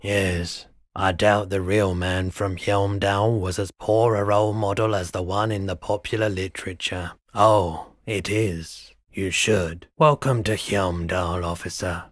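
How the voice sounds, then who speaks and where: An actor playing a weary, mild-mannered pawnshop owner no longer young in a video game, speaking in an unhurried, low-key, dryly polite way. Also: male voice, fairly even intonation, no room sound, studio quality. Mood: dry, calm. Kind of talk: video game dialogue acting.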